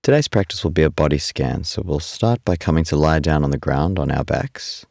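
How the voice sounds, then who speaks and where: A man with a remarkably deep voice leads a guided meditation. His tone is dark and relaxed with a gravelly fry, and he speaks soothingly with long, unhurried pauses. The sound is close and dry. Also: dry recording. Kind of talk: guided body scan meditation.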